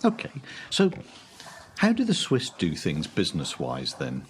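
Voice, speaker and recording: Give me a deep-voiced man hosting a business podcast, lively and encouraging. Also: measured pace, a little hiss or hum. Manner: encouraging, lots of backchannel. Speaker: podcast host. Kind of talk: casual interview chat.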